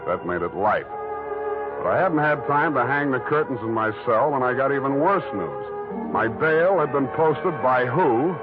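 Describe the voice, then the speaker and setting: An actor playing a cynical, wisecracking private detective with an American accent, narrating in 1940s radio drama style. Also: male voice, mid-range voice, measured pace. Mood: wry narration. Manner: sardonic, deadpan wisecracks. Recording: muffled vintage radio recording, loud music.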